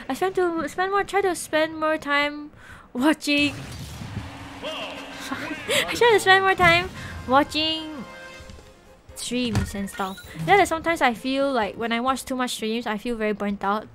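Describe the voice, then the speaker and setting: A young woman from Singapore with a Singaporean English accent, chatting casually with viewers on a live stream from her bedroom, giggling often and trailing off mid-sentence. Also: high voice. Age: young adult. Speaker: Twitch streamer. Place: small bedroom, indoors. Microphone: desk microphone with headset.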